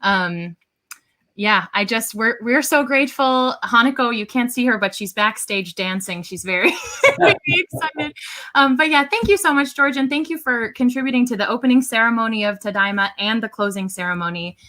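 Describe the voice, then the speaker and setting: A woman's voice: on the high side, lively intonation, moderate pace, sharp tone. A woman, speaking conversationally as the moderator of a hosted discussion. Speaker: interviewer and event moderator.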